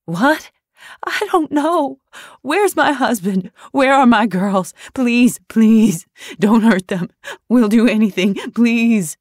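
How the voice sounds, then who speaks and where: A woman's voice: on the high side, expressive, slow, a little mellow, no echo, clean studio sound, voice pushed up. A woman voicing a terrified mother who pleads and weeps, desperate for her husband and children. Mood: terrified pleading.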